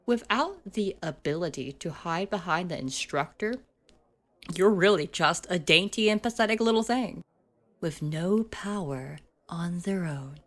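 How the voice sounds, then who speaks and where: Slow, condescending schoolgirl bully, acted by a woman. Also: lowish voice, fairly bright tone. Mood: contemptuous. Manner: slow, condescending, teasing menace. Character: mocking, domineering delinquent schoolgirl bully. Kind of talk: ASMR roleplay acting.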